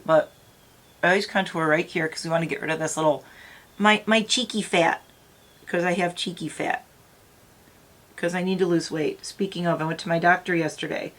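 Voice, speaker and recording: Middle-aged female beauty vlogger, lowish voice with a touch of morning rasp, rambling casual chat. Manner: rambling, chatty, talks straight to viewers. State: slightly raspy morning voice. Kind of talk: casual makeup tutorial chat.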